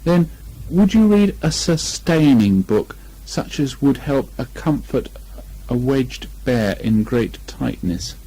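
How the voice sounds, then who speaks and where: A man voicing a slow, earnest, rather gloomy male toy bear who ponders hesitantly in a children's story. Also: medium pitch, expressive, warm tone. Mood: anxious, gloomy. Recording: muffled amateur 1980 home recording.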